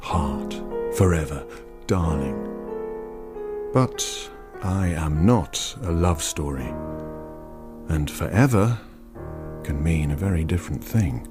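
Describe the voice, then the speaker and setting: A very deep-voiced male storyteller narrates an audio drama. He is reflective and wry, choosing his words with care and delivering them slowly, with piano music clearly behind him. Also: lively intonation, exaggerated voice. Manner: measured, literary, deliberate.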